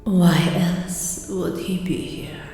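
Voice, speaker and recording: An actress playing a languid, seductive, predatory vampire bride in a radio play. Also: female voice, on the low side, little pitch movement, slow, mellow. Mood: seductive, hungry. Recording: very echoey hall-like reverb, faint music.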